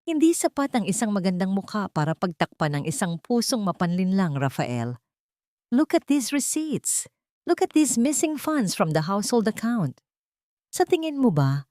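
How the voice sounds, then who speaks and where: A woman's voice: medium pitch, sing-song, normal speed, dark tone, no echo, studio quality, close to an everyday voice. A woman voicing a scheming young female villain in an audio story, silky, insinuating and manipulative. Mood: accusing.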